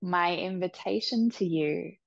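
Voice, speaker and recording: A woman leading a guided meditation with soft, soothing instructions. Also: on the low side, gravelly, no room sound, muffled recording. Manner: soft, slow, soothing instructions with long pauses.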